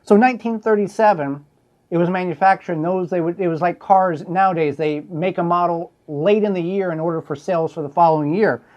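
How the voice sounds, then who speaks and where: A man's voice: sits high, brisk, darkish tone, muffled recording. A man hosting a casual show-and-tell, explaining things in a folksy, plainspoken way. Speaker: host showing a collectible.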